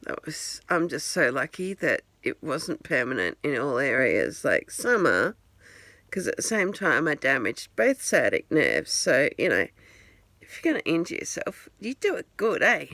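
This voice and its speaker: A woman's voice: low-pitched, very animated, a little slow, a bit bright, dry recording. A woman in her forties rambling candidly in a casual vlog, filming herself on a phone at home. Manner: rambling, candid, self-deprecating with chuckles. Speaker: vlogger. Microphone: handheld phone camera.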